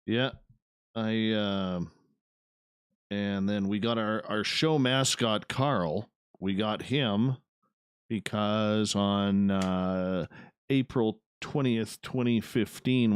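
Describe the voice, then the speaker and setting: A man's voice: fairly low pitch, slow. A man hosting a late-night radio talk show, chatting casually and laid-back, often tacking "man" onto his sentences.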